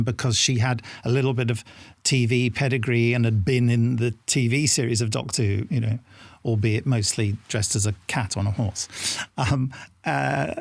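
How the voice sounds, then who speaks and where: Creaky-voiced man, dry interview sound. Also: mid-range voice, no echo. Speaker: interviewee recalling casting auditions. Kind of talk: unscripted documentary interview.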